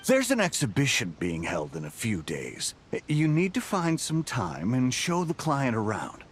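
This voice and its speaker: An actor playing a demanding, patronizing company boss in a video game, with a condescending, theatrical delivery. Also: male voice, mid-range voice, wildly swooping pitch, measured pace, slight background noise. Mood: patronizing, critical. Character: demanding, patronizing male company boss who turns flustered. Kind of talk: animated video game voice acting. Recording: music playing behind the voice.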